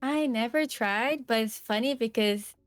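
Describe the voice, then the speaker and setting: A young woman from Portugal with a Portuguese accent, chatting softly and hesitantly as a guest, laughing often. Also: a little high, measured pace, no room sound, clean studio sound. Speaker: guest streamer. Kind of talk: casual podcast chat.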